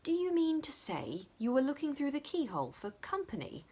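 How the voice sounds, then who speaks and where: Woman playing a breezy English gentleman, animated delivery. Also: high voice, normal speed, voice pushed up. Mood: amused challenge. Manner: breezy, declamatory. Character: jaunty young gentleman, breezy, theatrical, kindly.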